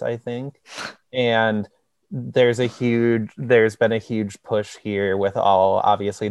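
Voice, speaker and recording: A man with a low, gravelly voice talks in a casual group discussion. He rambles, packing his sentences with "like" and "you know". The sound is dry and close. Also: dry recording. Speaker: discussion participant.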